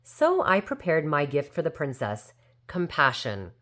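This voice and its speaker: A woman's voice: low-pitched, wildly swooping pitch, a little slow, a bit bright, exaggerated voice. A woman voicing a very old, indignant but well-meaning fairy who tells her own storybook tale defensively and with self-assurance. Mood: hurt, earnest. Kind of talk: storybook character narration.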